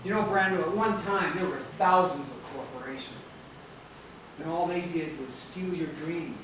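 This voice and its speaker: High-voiced rebel elder acted by a man, echoey stage. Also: moderate pace, close to an everyday voice. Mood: earnest, reflective. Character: seasoned rebel elder and parent, steadfast, idealistic mentor. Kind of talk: stage play acting. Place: theatre stage, recorded from a distance. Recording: noisy, echoey stage videotape from far off.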